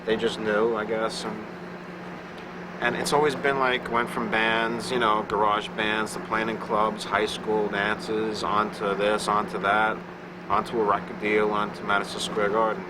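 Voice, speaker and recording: Male musician, deep-ish and monotone, casual interview recollections, clear background hum. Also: unhurried. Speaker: rock band musician.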